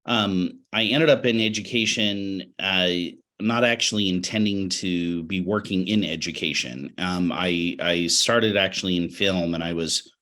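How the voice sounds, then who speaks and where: A middle-aged American man with a low-pitched voice, a little raspy from a cold. He is a webinar guest reflecting on his work, choosing his words in a measured, halting and earnest manner. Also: fairly even intonation, sharp tone. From Utah, United States. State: has a cold. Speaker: interview guest, education professional.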